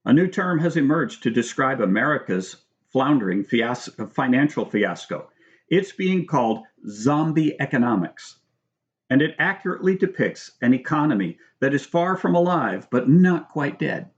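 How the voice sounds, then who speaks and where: A man reading his own book aloud as scripted narration, in a measured, formal manner. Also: medium pitch, sing-song, muffled recording. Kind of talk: scripted narration with brief spoken asides.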